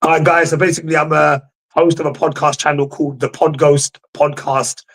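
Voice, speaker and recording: Husky young man, says "bro" a lot. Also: on the high side, level tone, fairly quick, fairly bright tone. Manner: says "bro" constantly, confident opinions. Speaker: guest pundit, podcast host. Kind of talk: casual football debate.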